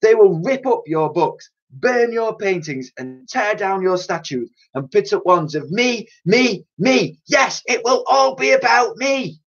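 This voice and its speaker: A vain, self-pitying old scientist from a children's storybook, put on by a man reading aloud. His husky voice swings up and down as he boasts and mourns. The sound is dull and lo-fi. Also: high voice, expressive, measured pace, voice pushed up, exaggerated voice. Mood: grandiose. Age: older. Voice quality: pompous.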